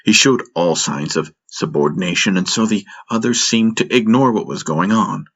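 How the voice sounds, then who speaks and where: Deep raspy guy narrating a dramatic sci-fi audiobook, takes it slow, music behind. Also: a bit bright, highs cut off. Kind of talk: dramatic scripted first-person narration. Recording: music bed under the voice.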